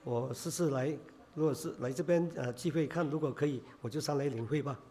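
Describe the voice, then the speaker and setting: A man preaching a sermon in Chinese, hoarse from a cold, halting with many filler sounds. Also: medium pitch, dark tone.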